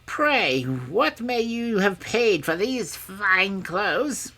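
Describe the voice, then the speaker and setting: A woman's voice: mid-range voice, big pitch swings, drawn-out words, a bit bright, pitched above the performer's own voice, heavily acted. A woman voicing a mean, grasping little old crone in a storybook, grumbling and mocking as she haggles. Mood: muttering, greedy. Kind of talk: storybook character voice.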